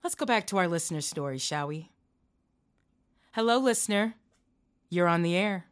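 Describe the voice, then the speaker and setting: A woman's voice: fairly low pitch, animated, moderate pace, clean tone, close to an everyday voice. A woman playing a late-night radio host who turns from outraged to coldly menacing in an audio drama. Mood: calm menace. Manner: indignant then coldly menacing. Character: female late-night radio host turned vengeful killer.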